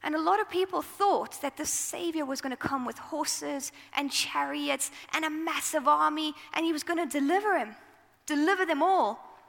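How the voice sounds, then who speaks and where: A woman with a South African accent preaching a sermon into a microphone, telling the story in a relaxed, conversational way. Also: high-pitched, moderate pace, vocal fry, long reverb tail.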